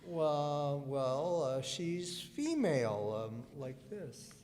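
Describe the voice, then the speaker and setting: A man's voice: on the high side, sing-song, drawn-out words, darkish tone, heavily acted. A man playing a courteous, easily flustered Navy commander in a radio comedy, hesitant and a little prim. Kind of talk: old-time radio comedy acting. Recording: reverberant hall stage recording.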